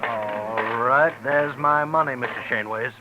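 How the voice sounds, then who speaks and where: An actor playing a smooth-talking gambler who calls himself a gentleman, overly polite and always saying sir, in a 1950s radio drama. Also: mid-range voice, measured pace, muffled recording, lightly acted. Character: smooth-talking male Southern gambler, self-styled gentleman. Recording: noisy old radio broadcast.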